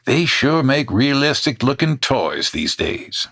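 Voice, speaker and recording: A man's voice: medium pitch, very animated, slow, vocal fry, a bit bright, full character voice. An actor playing a sheepish, chuckling traveling salesman in a comedy Western audio drama, rambling in a folksy old-West way. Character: bashful folksy traveling salesman, middle-aged man.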